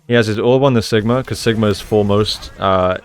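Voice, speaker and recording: A man giving gameplay analysis commentary as a coach, explaining in a measured way and repeating phrases for emphasis. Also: fairly low pitch. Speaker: esports coach, video host.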